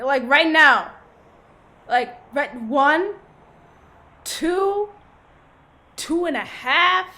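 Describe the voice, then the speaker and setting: A woman plays a cocky male villain with a high voice and a slow, taunting delivery. He boasts and swears with hammy relish, like the self-declared greatest criminal of a comedy audio play. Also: fairly bright tone, heavily acted. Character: cocky male serial jaywalker villain, taunting and foul-mouthed.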